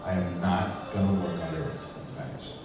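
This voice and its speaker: A man with a fairly low voice chats loosely on a workshop panel, drifting now and then into Spanish phrases. He is heard from a distance across a big, echoing hall. Steady noise hangs under his voice. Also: normal speed. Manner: rambling and conversational, slips into Spanish phrases. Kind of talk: casual panel talk. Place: large hall before an audience. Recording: echoey hall, mic far off, noisy.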